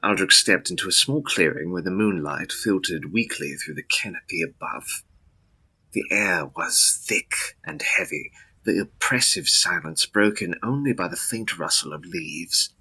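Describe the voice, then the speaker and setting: Deep, intense male gothic narrator. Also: pushed and bright, slightly rough, poor audio. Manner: solemn, deliberate, theatrical. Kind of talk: dramatic scripted gothic narration.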